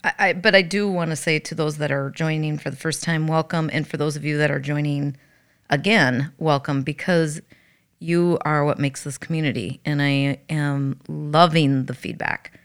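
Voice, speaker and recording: Her voice sits low, with a slight rasp at the edges. She is a middle-aged woman in podcast conversation, speaking in an earnest, reflective way. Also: steady tone, normal speed. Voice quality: slightly raspy. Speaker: podcast co-host, hospice worker.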